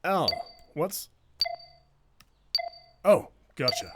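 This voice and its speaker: Man playing a wry, lively security chief. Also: a little high, very slow, noisy background, full character voice. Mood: wry, playful. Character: gruff but easygoing adult security chief, composed. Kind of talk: animated fandub voice acting.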